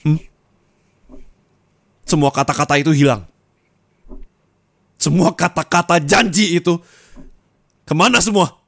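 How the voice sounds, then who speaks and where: A man in an ASMR roleplay, scolding his partner bitterly. He moves between low muttering and raised angry reproach, recorded on a close mic. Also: a little slow, long pauses, a bit bright, no echo.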